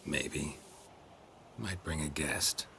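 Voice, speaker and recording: An actor playing a stoic, laconic monster hunter in a video game, with a low growling voice and clipped, dry delivery. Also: male voice, drawn-out words, slight background noise, exaggerated voice. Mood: dry, calm. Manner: clipped, deadpan, understated.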